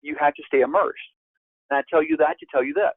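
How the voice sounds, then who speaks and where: A man in his fifties presents a real estate course in an emphatic teaching manner, his pitch jumping up on key points. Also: fast, no echo. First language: Spanish. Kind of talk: webinar presentation. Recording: tinny phone-like line, dry.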